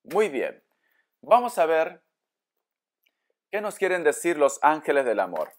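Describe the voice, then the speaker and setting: Guy doing a tarot reading, talks right to the viewer, kinda high voice, really up and down, explains stuff. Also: very animated, a little slow. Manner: explanatory, asks and answers own questions. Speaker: tarot reader, host. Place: indoor room with books at a table.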